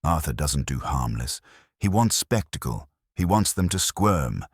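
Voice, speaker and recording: A man voicing an anxious, bitter married woman in an audiobook mystery, in a hushed manner. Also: rumbling low, sing-song, a little slow, slightly rough, no room sound, studio quality, heavily acted.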